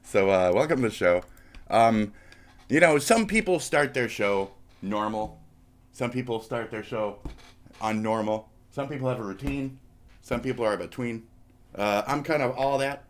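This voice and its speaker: A man's voice: mid-range voice, measured pace. A man hosting a live stream, stuffy from a snotty nose, in a profane, rambling talk that slides into half-rapped riffs. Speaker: streamer host. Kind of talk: casual live-stream rant.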